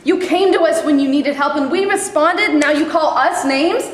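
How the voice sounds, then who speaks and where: A woman's voice: sits high, moderate pace, a little hiss or hum, natural voice. A woman reading the part of an outraged religious mother in a staged play, indignant and scolding. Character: outraged devout adoptive mother. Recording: echoey hall with long reverb.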